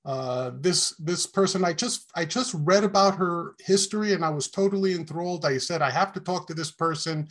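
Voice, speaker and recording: A man hosting an interview show, friendly and a little halting as he asks questions. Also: a little high, a bit bright. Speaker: podcast host. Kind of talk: casual interview.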